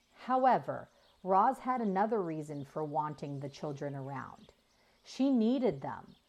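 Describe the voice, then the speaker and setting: Female children's audiobook narrator, deliberate and slow-paced, with highly varied intonation. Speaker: storyteller reading a children's book aloud. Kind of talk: expressive scripted children's narration.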